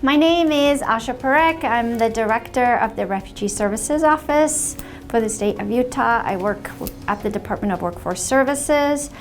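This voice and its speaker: A woman who grew up in Zimbabwe, a state refugee services director, speaking reflectively with frequent ums and pauses. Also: a little high, soft background music. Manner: thoughtful, unhurried, with frequent ums. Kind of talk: reflective personal testimonial.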